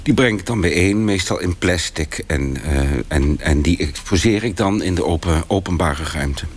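An actor playing an earnest, self-important artist in a radio comedy, speaking in halting fragments with a deadpan delivery. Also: male voice, sits low, breathy edge. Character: earnest, self-important male artist recounting his oeuvre. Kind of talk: deadpan mock-documentary monologue.

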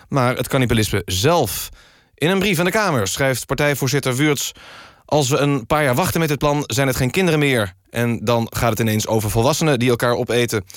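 A man presenting satirical news as a deadpan radio magazine host. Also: medium pitch, no echo, clean studio sound.

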